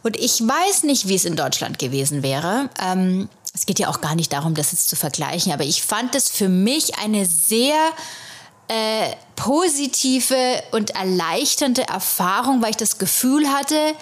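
A woman's voice: medium pitch, sing-song, measured pace. A woman from Germany, an actress and podcast host, telling stories in a chatty, rambling way with many hesitations. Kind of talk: casual solo podcast storytelling.

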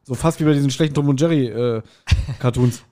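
A man in his forties talking casually and nostalgically as a podcast co-host, telling stories in an animated, self-deprecating way. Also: medium pitch, fairly quick. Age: 40s.

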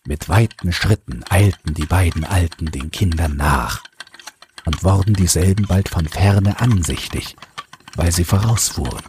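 A man's voice: low-pitched, unhurried, noisy background. A man narrating a scripted fairy tale as an audiobook storyteller, close to the microphone. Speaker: narrator, podcast host.

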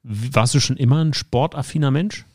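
A man hosting a sports team podcast, asking casual, quick follow-up questions in an interview. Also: mid-range voice, darkish tone, dry recording, studio quality. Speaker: podcast host.

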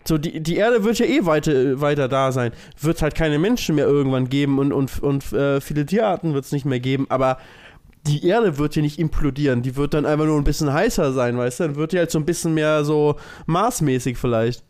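A man co-hosting a casual comedic podcast, explaining his musings in a loose, halting way. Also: on the high side, a little mellow. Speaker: podcast co-host.

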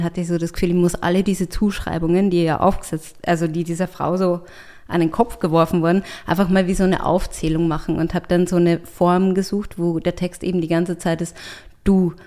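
Low-voiced woman, reflective interview guest. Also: a little mellow. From Austria. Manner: reflective, searching for words, frequent restarts. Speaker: writer and artist, guest. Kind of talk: thoughtful conversational interview.

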